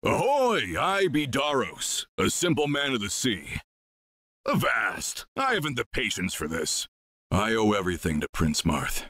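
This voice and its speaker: Hearty, animated sailor. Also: male voice, mid-range voice, a little slow, no room sound, soft background music, exaggerated voice. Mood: hearty, loyal. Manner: nautical, old-fashioned speech. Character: hearty, loyal, genial big male sailor. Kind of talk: game character voice lines, pirate-sailor talk.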